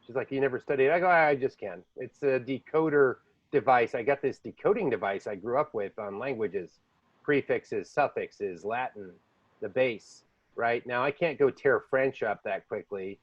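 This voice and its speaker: A man's voice: medium pitch, moderate pace, no echo, lo-fi sound. A man hosting a podcast, telling rambling stories in a casual chat. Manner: rambling storyteller, jumps between languages. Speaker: podcast host. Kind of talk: casual conversation.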